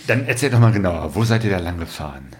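A man's voice: deep voice, expressive, fast, very noisy. A man hosting a casual conversation, asking questions and briefly clarifying what his guests say. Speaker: podcast host, interviewer.

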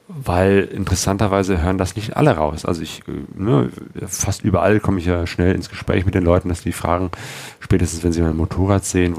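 A man's voice: deep voice, vocal fry, darkish tone, dry recording. A man from Germany talking casually about his motorcycle trip, rambling with lots of fillers and restarts. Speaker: motorcycle travel vlogger. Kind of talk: casual travel vlog talk.

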